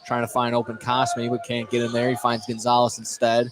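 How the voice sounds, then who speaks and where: A man calling live high school basketball play-by-play on the radio as the game's announcer. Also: completely flat delivery, fairly quick. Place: indoor school gymnasium during a game. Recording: noisy gym, loud background noise.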